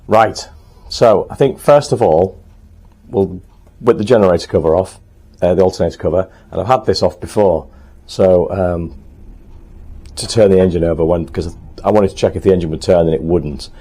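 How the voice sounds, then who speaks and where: A man talking casually to the camera in his home workshop, thinking aloud as he works, a little hoarse. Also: low voice, moderate pace, mellow. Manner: chatty, thinks aloud while working, frequent ums. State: slightly hoarse, clearing throat. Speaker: motorcycle restorer and channel host.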